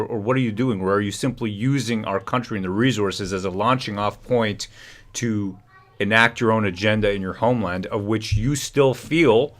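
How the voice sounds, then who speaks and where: A man with a low-pitched voice hosts a casual livestream. He rambles and jokes sarcastically as he reacts to clips. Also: fairly bright tone. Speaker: livestream host.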